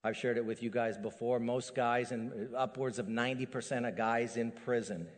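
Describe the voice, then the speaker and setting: A middle-aged man preaching a sermon as a pastor, animated and building to emphatic peaks. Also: mid-range voice, moderate pace. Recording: long hall reverb.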